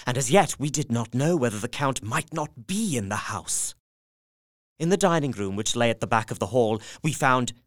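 A man narrating as a steady, earnest Victorian journal writer. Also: mid-range voice, no room sound, studio quality, natural voice. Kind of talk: audio drama narration.

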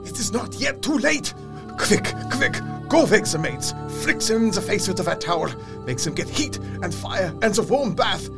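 An actor playing an urgent, grave older professor of medicine in a gothic audio drama, speaking in formal, exclamatory phrases. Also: male voice, sits high, very animated, normal speed, vocal fry, over music, full character voice. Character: older foreign male doctor-professor, urgent, grave, fiercely earnest.

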